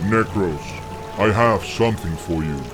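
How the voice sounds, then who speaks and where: An actor playing a weary, commanding orc chieftain in a video game, grave and authoritative. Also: male voice, sits low, unhurried, over music.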